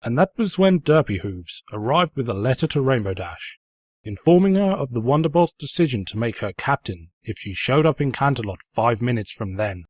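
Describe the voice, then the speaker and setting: A man's voice: medium pitch, lively intonation, moderate pace, no room sound, close to an everyday voice. A man playing a sarcastic, pompous narrator who mockingly tells a comic fan fiction story. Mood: snide. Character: snide, mocking male storybook narrator who insults the heroine.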